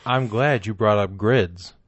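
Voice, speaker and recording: Low-voiced man teasing his co-host. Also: measured pace, no room sound, lo-fi sound. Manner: dry teasing banter. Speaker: podcast co-host. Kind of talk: casual comedic chat.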